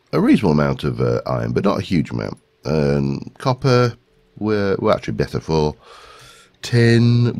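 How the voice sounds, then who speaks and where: A man's voice: deep voice, darkish tone. A man with a stuffy head cold, hosting a gaming video with casual, rambling commentary and lots of ums. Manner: rambling, talks to himself while searching, many ums.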